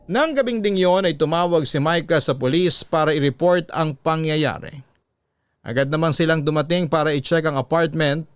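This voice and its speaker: A man reads a dramatic story as a radio narrator. His pitch sits a little above average for a male voice. Quiet music runs beneath the narration. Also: clear, resonant tone, no echo. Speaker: narrator reading a listener's letter. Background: soft background music.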